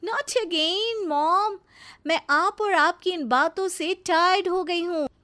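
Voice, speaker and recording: Woman acting a high-pitched spoiled young model. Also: takes it slowly, sharp tone, full character voice. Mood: dismissive, irritated. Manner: petulant, snappy, sprinkles English words into speech. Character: spoiled, haughty young female model, a daughter-in-law. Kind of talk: melodramatic animated story voice acting.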